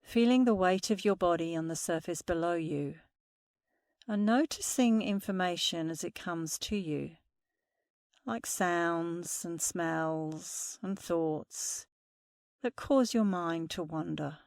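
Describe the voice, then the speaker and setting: A woman meditation instructor speaking softly and soothingly through a guided meditation. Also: on the low side, no echo, studio quality. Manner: slow, soothing, soft-spoken guidance.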